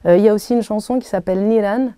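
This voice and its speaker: A woman in her thirties whose first language is Arabic, answering interview questions thoughtfully, with many pauses and restarts. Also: medium pitch, mellow, clear, resonant tone. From Algeria. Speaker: singer being interviewed. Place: hotel room.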